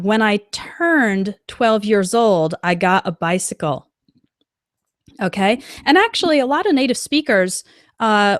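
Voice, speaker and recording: A woman teaching an English lesson on a live stream, reading out example sentences slowly and clearly as she answers a chat. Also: on the high side, no room sound, studio quality.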